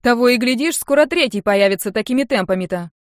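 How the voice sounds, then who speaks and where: A woman's voice: a little high, lively intonation, fast, no echo, studio quality, voice pushed up, close to an everyday voice. A woman voicing a touchy, sarcastic young uncle in an audiobook, bossy and full of mocking, indignant retorts. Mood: teasing.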